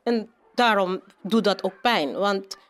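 A woman's voice: mid-range voice, slow, fairly bright tone. A woman answering in an interview as a teacher and activist, earnest and emphatic.

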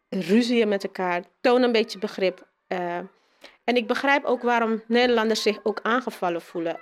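Reflective woman interviewee with mid-range voice. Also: mid-range voice. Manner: hesitant, searching for words.

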